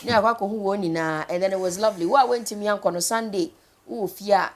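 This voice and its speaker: A woman's voice: mid-range voice, fairly quick. A woman with a Ghanaian accent chatting casually as a morning TV host, switching between two languages. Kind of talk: casual TV chat, code-switching.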